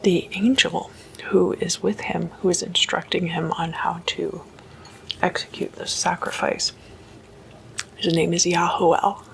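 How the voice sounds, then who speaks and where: A woman giving a whispered ASMR talk, explaining stories in a hushed, unhurried and informal way. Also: on the low side, flat delivery, gravelly, rough recording. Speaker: host of a spooky ASMR series.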